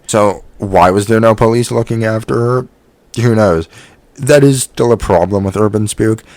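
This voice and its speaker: A man with a fairly low voice delivers opinionated review commentary. His phrasing is informal and peppered with swearing. The sound is close and dry, with little room around it. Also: darkish tone, no echo. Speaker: video reviewer.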